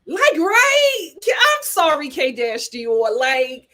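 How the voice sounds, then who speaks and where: Sassy high-voiced woman host. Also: slow pace. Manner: sassy, animated, talks straight to viewers. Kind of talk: casual reality TV commentary.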